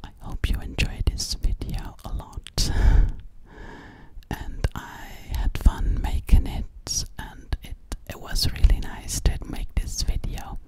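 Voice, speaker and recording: A young woman doing ASMR in a breathy whisper right up at the microphone, gently repeating words over and over. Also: slow pace. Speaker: ASMR creator. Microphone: close to a microphone with foam windscreen.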